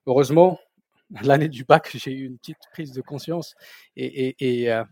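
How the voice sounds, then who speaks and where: A man's voice: medium pitch, normal speed, mellow, no room sound. Male guest, thirties, Moroccan, Berber mother tongue; hesitant conversational storytelling, close dry recording. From southern Morocco. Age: 30s. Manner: hesitant, repeating words as he searches for phrasing. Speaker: podcast guest, company founder. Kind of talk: casual autobiographical interview.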